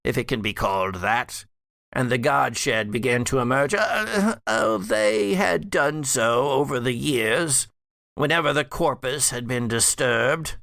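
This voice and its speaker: A man playing an undead elderly patriarch in a tabletop horror game, rambling and halting, wry and weary. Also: mid-range voice, creaky, bright, forceful tone, dry recording. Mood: musing, expository. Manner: halting, rambling storyteller with musing asides.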